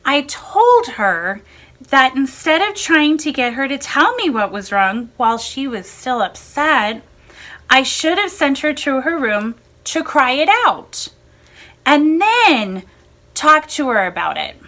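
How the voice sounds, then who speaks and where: Woman reading a personal essay, high bright voice, takes her time. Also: sing-song, slow pace. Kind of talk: measured reading of a first-person blog essay.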